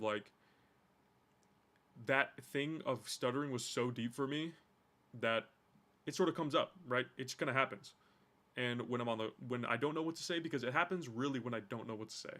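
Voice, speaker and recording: A man's voice: mid-range voice, a bit bright. A man casually reacting to a course video, thinking aloud with loose swearing and frequent repeated words, and he says he has a stutter.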